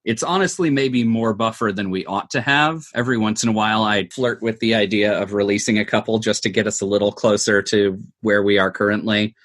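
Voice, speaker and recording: A man with a fairly low voice speaks as a guest in an interview. He explains things in a thoughtful, conversational way, laying them out step by step. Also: fairly even intonation, brisk, hardly any pauses, fairly bright tone. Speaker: interview guest, audio drama producer.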